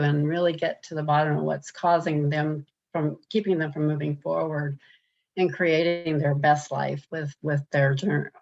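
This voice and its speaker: Low gravelly woman on a dull call line. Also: a little mellow. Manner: earnest, halting with restarts. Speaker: program participant. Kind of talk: reflective interview answers.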